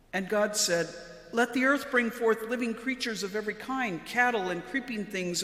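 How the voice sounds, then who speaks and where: A woman, a pastor, reading scripture from a church lectern in a solemn, measured way. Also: medium pitch, a bit bright. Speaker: Lutheran pastor. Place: large church interior, at the lectern. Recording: echoey church with long reverb.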